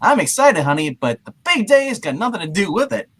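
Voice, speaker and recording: A man's voice: high-pitched, very animated, a little fast, breathy edge, heavily acted. A man reading a cocky, leering, flirtatious superhero in an amateur comic book reading. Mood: flirty.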